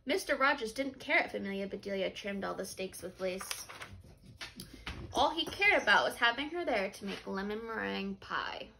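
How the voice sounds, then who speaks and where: A woman's voice: mid-range voice, moderate pace, gravelly, slight background noise, rough recording. A woman teacher reading a storybook aloud expressively, in an instructive way suited to questioning pupils. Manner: expressive, instructive, asks and confirms answers.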